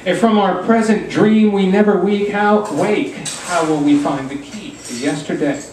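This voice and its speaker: A man giving a live poetry reading in a theatrical, deliberate style with wry asides. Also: high-pitched, a little mellow. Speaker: poet reading at a launch. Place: pub reading room, indoors. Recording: echoey room, distant mic, faint noise.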